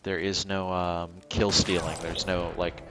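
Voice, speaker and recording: A man livestreaming a video game with casual, halting commentary, thinking out loud as he plays. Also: sits low, flat delivery, noisy background.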